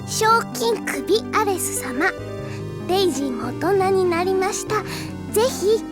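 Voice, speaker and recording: Very high-pitched, spirited young girl. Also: unhurried, loud music behind the voice. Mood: sweetly coy. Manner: girlish, expressive. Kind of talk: Japanese anime-style audio drama acting.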